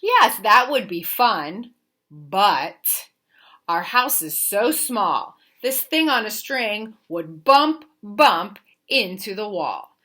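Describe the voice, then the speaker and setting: A woman reading a children's picture book aloud like a teacher, with expressive, deliberate, sing-song emphasis. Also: mid-range voice. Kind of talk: animated children's storybook read-aloud.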